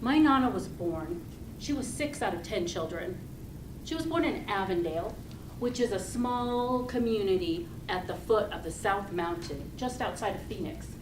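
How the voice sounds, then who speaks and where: A woman giving a rehearsed storytelling speech to an audience, speaking deliberately and expressively with pauses. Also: mid-range voice. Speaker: club speaker giving a tall tale speech. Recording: roomy, distant mic with loud noise.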